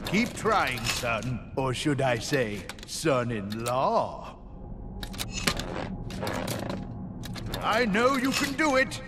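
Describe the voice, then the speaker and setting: A man's voice: mid-range voice, very animated, takes it slowly, background noise. An actor playing a jovial, pompous old king and doting father, with grand theatrical delivery in a cartoon video game. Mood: encouraging. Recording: long echo of a great hall.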